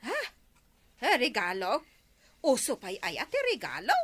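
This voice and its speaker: A woman's voice: on the high side, big pitch swings, moderate pace, fairly bright tone, husky, exaggerated voice. A woman playing a blunt, thrifty village auntie in an Ilocano radio comedy drama, with an Ilocano accent. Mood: indignant.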